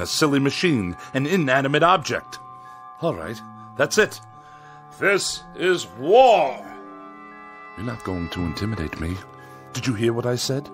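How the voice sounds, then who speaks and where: A pompous, irascible man of letters in a radio drama. His pitch swings widely as he delivers florid, sarcastic lines with theatrical disdain. Faint music plays behind him. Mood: defiant, furious. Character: pompous, paranoid, irascible middle-aged male writer who hates machines.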